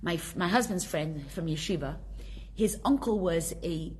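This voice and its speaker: A middle-aged woman teaching a relaxed religious lesson to a women's group, talking with the class and pressing her points with emphatic bursts. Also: on the low side, a little slow. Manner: conversational, drawing answers from the class, emphatic bursts. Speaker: rebbetzin teaching a Tanya class.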